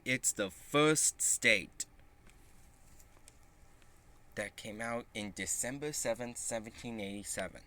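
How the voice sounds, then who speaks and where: A man reading a children's picture book aloud in a halting, deliberate way, with small stumbles. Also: mid-range voice, pushed and bright. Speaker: storybook reader.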